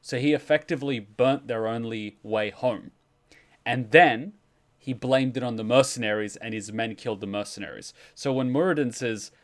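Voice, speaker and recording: Man explaining lore, medium pitch. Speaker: host of a game lore reaction stream. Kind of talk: casual explanatory commentary.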